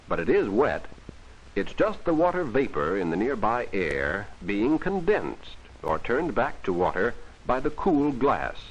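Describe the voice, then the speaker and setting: Man, vintage 1940s film soundtrack, children's educational narration, very animated swooping pitch, instructive, simple explanations. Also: husky, rough recording.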